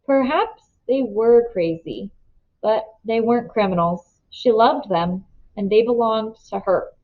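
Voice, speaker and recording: A woman reading a story aloud as narrator, with expressive storybook delivery. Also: on the high side, measured pace. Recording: slightly dull, lo-fi sound.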